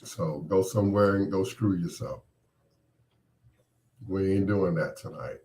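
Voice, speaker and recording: Very low, slow, fed-up man with a cold. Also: a little hiss or hum. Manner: irritated, dismissive, trailing off quietly. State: has a cold, voice partly lost. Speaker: host. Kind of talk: casual on-air monologue.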